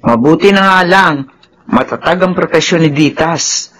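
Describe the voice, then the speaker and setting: A Filipino woman playing a doting, excitable older mother-in-law in a radio drama. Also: deep voice, normal speed. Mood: explaining, reassuring.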